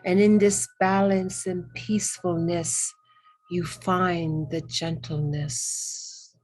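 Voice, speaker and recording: A woman leading a spiritual guided meditation in a gentle, soothing, reverent manner, with long pauses between short phrases. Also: lowish voice, level tone, rough recording. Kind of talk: guided meditation with ASMR elements.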